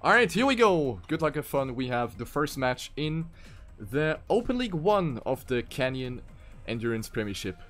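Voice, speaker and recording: A man's voice: mid-range voice, moderate pace, faint music behind. A man casting an online racing game tournament live, giving play-by-play that jumps up on crashes and mistakes. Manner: excitable play-by-play, rising sharply on crashes. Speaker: esports caster.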